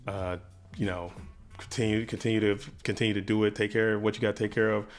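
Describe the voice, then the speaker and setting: A man's voice: lowish voice, little pitch movement, fast talker, faint music behind. A man giving an unscripted personal statement to his online community, circling back over phrases with repeated restarts and informal slang. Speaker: YouTuber making videos and interviews about a card game.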